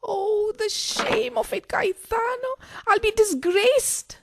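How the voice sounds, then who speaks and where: A woman's voice: very high voice, slow pace, vocal fry, background noise, exaggerated voice. A woman acting an anxious, melodramatic mistress with a practical streak in a farcical radio play. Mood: distraught.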